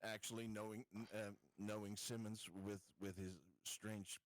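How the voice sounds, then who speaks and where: A man playing a tabletop role-playing game, chatting casually with a halting, stammering delivery. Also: on the low side, level tone, no echo.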